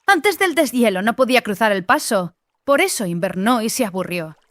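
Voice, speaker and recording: A woman's voice: on the high side, expressive, moderate pace, fairly bright tone, dry recording, studio quality. A woman playing a sceptical, irreverent young female apprentice in an audiobook drama, dismissive and matter-of-fact.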